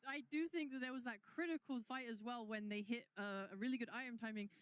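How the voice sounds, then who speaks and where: A woman with a fairly high voice gives esports analysis on a live desk. She is chatty and laughs lightly between her points. Her delivery stays relaxed and conversational. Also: fast, crowd noise.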